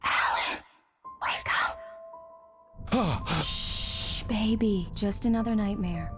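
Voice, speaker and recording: An actress playing a writer's tender wife in a video game, soft, affectionate and reassuring. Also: female voice, medium pitch, takes it slowly, over music, natural voice.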